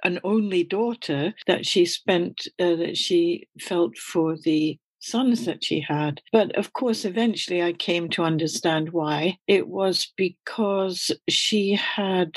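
Older Scottish-born woman, low slow voice. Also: husky, no room sound. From Scotland. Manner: candid, laughs often while recalling. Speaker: guest. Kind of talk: reflective interview answers.

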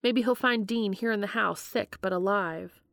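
A woman's voice: medium pitch, normal speed, gravelly, a bit bright, no echo, studio quality. A woman reading scripted fiction aloud as an audiobook narrator. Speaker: fan fiction audiobook reader.

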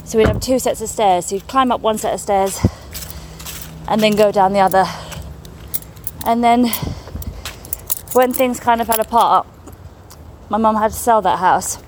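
A middle-aged woman, a comedian chatting casually to camera on her tour vlog, excitable and gushing. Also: mid-range voice, moderate pace, long pauses, background noise. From England.

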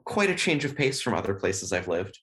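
An American man, a podcast guest, talking casually and thoughtfully in an interview, often pausing with "uh" and "you know". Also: on the low side, sing-song, fairly bright tone. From United States. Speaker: podcast guest, game writer.

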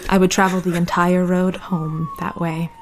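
A woman's voice: lowish voice, little pitch movement, moderate pace, background noise, close to an everyday voice. A woman playing an anguished, defiant teenage girl in love, reading her diary in a dramatic monologue. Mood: bitter, pained.

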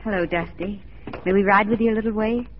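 An actress playing a tender, gracious young frontier sweetheart in a gentle, sentimental 1940s radio drama style. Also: female voice, medium pitch, fairly even intonation, fast talker, background noise, natural voice. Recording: muffled 1940s radio recording.

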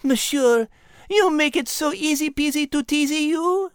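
A woman's voice: sits high, a little slow, no echo, studio quality. A woman voicing a playful, teasing animal podcast host with a French accent. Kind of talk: scripted children's podcast character banter.

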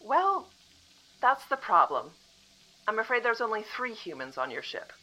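A woman acting a composed female official from the future in an audio drama, calmly apologetic and matter-of-fact. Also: on the high side, measured pace, heavily acted, poor audio.

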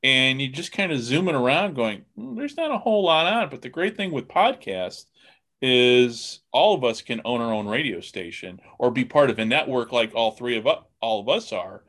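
A man's voice: medium pitch, big pitch swings, moderate pace, fairly bright tone. A man hosting a casual podcast interview, talking in a rambling, folksy way as he thinks aloud. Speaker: podcast host interviewing guests. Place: remote call on a computer.

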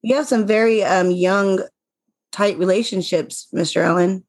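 A woman's voice: mid-range voice, normal speed, a little mellow, dry recording. Brief: woman, 40s, panel host, teasing, close dry sound. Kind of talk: hosting a panel chat.

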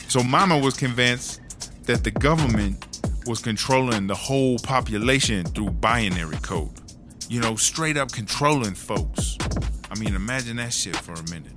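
A man's voice: mid-range voice, natural voice. Guy doing a streetwise jailbird lady, sweary casual storytelling, comedy audio drama, music behind it. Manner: slangy, sweary, conversational. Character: Chartreuse, streetwise chatty long-serving woman prisoner, candid storyteller. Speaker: narrator of a prison audio drama. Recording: music under the voice.

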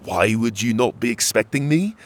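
A man's voice: medium pitch, lively intonation, moderate pace, voice pushed up, natural voice. A man voicing a stern exiled royal warrior in a fantasy story, with a grave, commanding manner. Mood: commanding, indignant.

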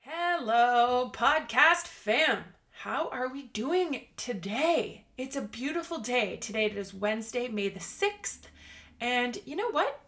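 A woman's voice: a little high, animated, takes it slowly, fairly bright tone. A woman in her late thirties hosting a motivational podcast, chatty and bubbly, laughing often. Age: 30s. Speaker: podcast host. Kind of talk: upbeat motivational podcast monologue.

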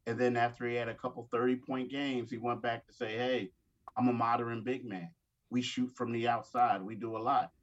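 A man's voice: medium pitch, little pitch movement. Man talking sports, slightly dull sound. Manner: halting, repeats words while thinking. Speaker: sports beat writer, guest. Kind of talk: casual sports talk with playful ribbing.

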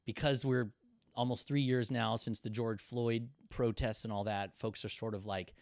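A man's voice: medium pitch, fairly even intonation, normal speed, darkish tone, no echo. A man hosting a conversational interview, framing questions thoughtfully with searching phrasing and many fillers. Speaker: podcast host.

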